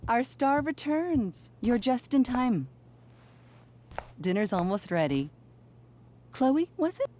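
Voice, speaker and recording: A woman's voice: fairly high pitch, very animated, slow, pauses often, a little mellow. A woman playing a gracious middle-aged mother in a video game, formal and effusive like a polished hostess. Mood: welcoming, poised. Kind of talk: video game cutscene acting.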